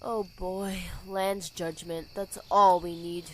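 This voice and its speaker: A woman playing a dutiful, weary knight commander in an audio drama, speaking in a measured, authoritative way. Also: lowish voice, flat delivery, slight background noise, rough recording. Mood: weary, concerned.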